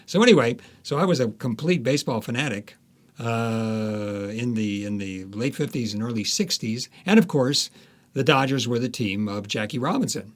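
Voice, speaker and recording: A man's voice: mid-range voice, moderate pace. An American man in his seventies, an author being interviewed, telling anecdotes in an emphatic, enthusiastic way. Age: 70s. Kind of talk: reminiscing, anecdotal interview talk.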